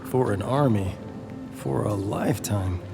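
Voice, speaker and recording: An actor playing a breezy, curious commanding officer with a casual, jokey manner in an audio drama. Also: male voice, on the low side, measured pace, vocal fry, big-room sound, music in the background. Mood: amused.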